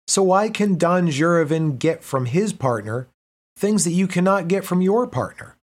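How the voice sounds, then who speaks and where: A man reading a prepared text aloud as narration, pausing between the items of each list. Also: high-pitched, lively intonation. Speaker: reader. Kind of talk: scripted narration.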